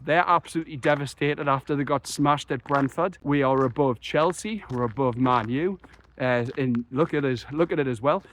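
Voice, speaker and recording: A young man, croaky after a chest infection, talking passionately and rambling through a football match reaction. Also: a little high. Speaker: football fan vlogger. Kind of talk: excited solo match reaction.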